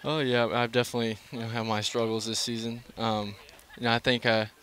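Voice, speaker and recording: A young male college baseball player in a postgame interview, speaking through a headset mic at an outdoor ballpark at night, halting, with many fillers and restarts. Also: medium pitch, little pitch movement, a bit bright, a little hiss or hum. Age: young adult.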